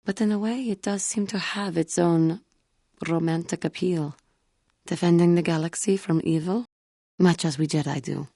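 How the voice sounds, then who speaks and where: A female cat-like alien warrior from a video game, proud yet haunted by guilt. The voice sits low with a gravelly creak and a husky grain. The delivery is formal, earnest and halting. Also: dark tone, no echo, clean studio sound. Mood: shy, apologetic. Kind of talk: scripted video game character dialogue.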